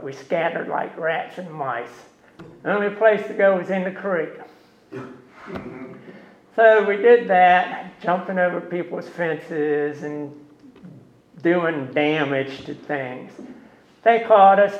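A loud man from Norfolk, telling his personal story in a folksy way as guest speaker at a recovery meeting. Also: sits high. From Norfolk, USA. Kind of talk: personal testimony to a group. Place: meeting room. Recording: echoey, dull meeting-room recording.

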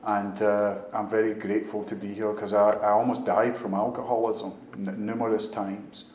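Scottish guy in his 50s, kinda low voice, telling his story at a meeting, bit of background noise. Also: level tone, normal speed, darkish tone, highs cut off. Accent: Scottish, Glasgow area. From Scotland, just outside Glasgow. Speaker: speaker sharing at a recovery meeting. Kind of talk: personal testimony to a group. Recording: echoey room with faint background noise.

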